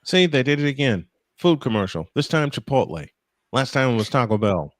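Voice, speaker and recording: An American man works as a play-by-play commentator, moving between game calls and casual chat. His voice sits at a middle pitch and is recorded very dry. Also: sing-song, brisk, no room sound. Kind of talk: live baseball commentary mixed with casual banter.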